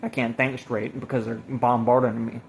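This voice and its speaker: Halting man with a flat delivery. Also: medium pitch, a little hiss or hum. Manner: halting, repetitive, restarting phrases. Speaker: self-described evangelist recording a personal video. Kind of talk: rambling spoken testimony to camera or phone.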